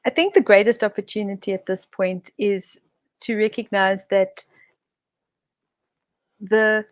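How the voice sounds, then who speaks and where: Woman, guest, thoughtful, expansive, restarts often, mid pitch, dry sound. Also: steady tone, unhurried, no echo. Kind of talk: reflective online conversation. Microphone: video call.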